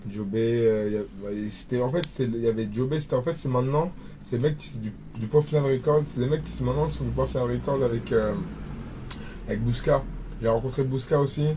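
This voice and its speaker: A man's voice: mid-range voice, quick pace, background noise. Young man rambling in an interview. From Cameroon. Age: 20s. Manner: rambling, many restarts and fillers. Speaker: rapper guest. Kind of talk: casual interview chat.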